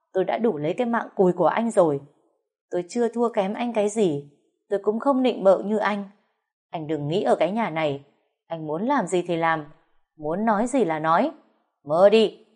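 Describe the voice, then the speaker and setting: A woman's voice: medium pitch, lively intonation, normal speed, darkish tone, close to an everyday voice. A woman voicing a hot-tempered young husband who protects his wife, speaking in harsh, clipped bursts. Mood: scornful.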